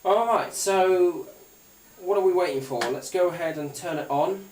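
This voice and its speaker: Male British gadget reviewer, hesitant and off-the-cuff, slightly high voice, picked up from a distance with faint noise. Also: moderate pace, a little mellow, reverberant. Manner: hesitant, rambling, unscripted. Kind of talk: casual product demonstration.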